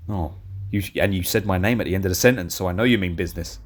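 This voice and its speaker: A young man co-hosting a casual film review podcast, blunt and teasing, swearing freely and a little hoarse. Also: deep voice, quick pace. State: losing his voice. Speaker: podcast co-host.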